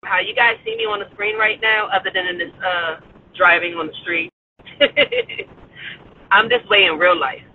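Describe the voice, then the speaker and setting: Man, forties, high voice, upbeat and encouraging, tinny phone call from a car, faint road noise. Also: unhurried, long pauses. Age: 40s. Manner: upbeat, encouraging, laughs often. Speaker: podcast guest. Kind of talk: casual chat. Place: in a car on the street.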